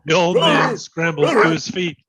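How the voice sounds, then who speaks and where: A man's voice: a little high, moderate pace, over a noisy crowd. A man reading stage directions as dramatic scripted narration for a readers theatre. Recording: dull, lo-fi sound.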